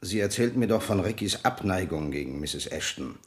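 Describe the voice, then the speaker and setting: An actor playing a probing, insistent police sergeant in a 1970s German radio crime drama, pressing with questions. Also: male voice, sits low, lively intonation, brisk. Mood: pressing, suspicious.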